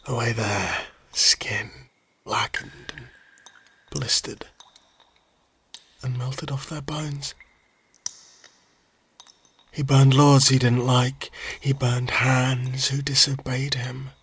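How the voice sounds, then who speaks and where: A man playing a grim, haunted witness to cruelty, slow and half-whispered, in a dark fantasy monologue. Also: medium pitch, steady tone, slightly rough, exaggerated voice.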